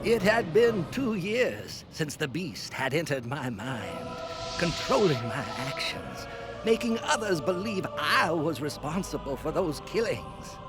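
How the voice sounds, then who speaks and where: An actor playing a tormented, self-deluded fallen evangelist, narrating a video game story in a theatrical, sermonizing way. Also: male voice, on the high side, sing-song, a little slow, loud music behind the voice. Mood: solemn, brooding. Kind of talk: dramatic scripted first-person video game narration.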